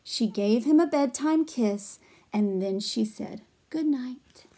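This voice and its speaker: A woman in her thirties reading a picture book aloud to children in a gentle, expressive way, explaining as she goes. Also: mid-range voice, slow pace. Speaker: storytime reader. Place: small furnished room at home.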